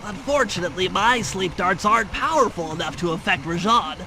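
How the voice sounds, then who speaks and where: A high, nerdy cartoon turtle lays out plans with fussy precision. He sounds like a proud little game strategist, with clear ambient noise behind him. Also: lively intonation, brisk. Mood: confident briefing. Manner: precise, pedantic, wordy explaining. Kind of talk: video game cutscene acting.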